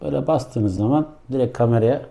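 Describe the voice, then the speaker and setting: A man with a very dark, muffled, mellow voice at a medium pitch talks through a gadget review. He explains each step informally, making it up as he goes. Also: fairly quick, vocal fry. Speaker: product reviewer. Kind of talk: casual hands-on gadget review. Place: indoor room.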